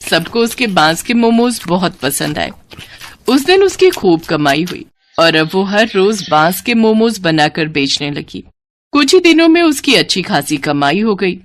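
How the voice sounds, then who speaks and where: A woman's voice: mid-range voice, sing-song, a little slow, no echo. A woman narrating a cartoon story in a scripted, emotive storybook manner.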